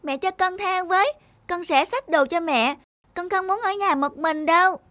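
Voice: very high voice, moderate pace, pushed and bright, dry recording. A performer voicing an eager, curious, sensitive little boy in a cartoon. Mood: pleading. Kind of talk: cartoon voice acting.

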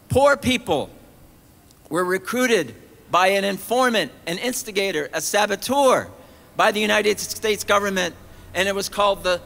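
A man's voice: high voice, sharp tone. A middle-aged man giving an emphatic sermon at an outdoor rally, speaking into a podium mic with rhetorical questions. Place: outdoor colonnade of a city hall at a rally. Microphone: podium mic on a stand. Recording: long echo off stone colonnade.

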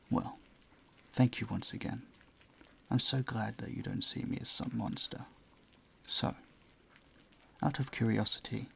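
A man playing a courteous, charming vampire in an intimate audio roleplay, soft-spoken, breathy and soothing, often close to a whisper. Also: medium pitch. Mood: wistful, grateful. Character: gentle, courteous male vampire, charming and doting. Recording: faint ambient noise behind the voice.

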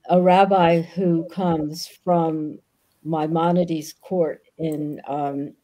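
Older woman, low mellow voice, many ums. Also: rough recording. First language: Yiddish. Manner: thoughtful, frequent ums. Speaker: guest author. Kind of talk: video call interview. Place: home room with bookshelves. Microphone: video call.

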